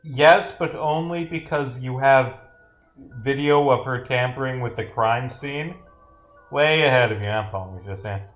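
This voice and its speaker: A man's voice: medium pitch, a little slow, a bit bright. A man giving casual, rambling livestream commentary as he playtests a game and reads its text aloud, often interrupting himself with dry jokes. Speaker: streamer, game developer. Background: game music.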